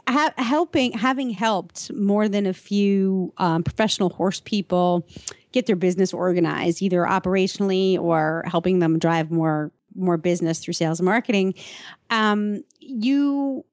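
A woman's voice: medium pitch, moderate pace, darkish tone, no room sound. Woman, podcast co-host, casual conversation, matter-of-fact, close dry sound.

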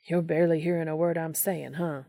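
A woman's voice: deep voice, fairly even intonation, fairly quick, warm tone, no room sound, studio quality. A woman voicing a burly, kindly male mechanic in a storybook narration, with an easygoing, folksy, reassuring manner. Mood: gently teasing.

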